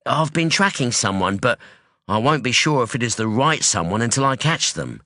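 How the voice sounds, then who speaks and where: Casting: narrator doing a terse, evasive middle-aged father in an audiobook, lifted and bright, dry close sound. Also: medium pitch, animated, moderate pace, dry recording, pitched above the performer's own voice. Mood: guarded. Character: guarded middle-aged father, crime boss. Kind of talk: audiobook dialogue.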